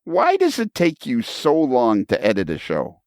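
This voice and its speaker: An older man hosts a casual podcast in a mid-pitched voice with very lively intonation. He teases and prods his guest, often restarting his sentences as he goes. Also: unhurried, no room sound, clean studio sound. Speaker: podcast host. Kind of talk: casual interview banter.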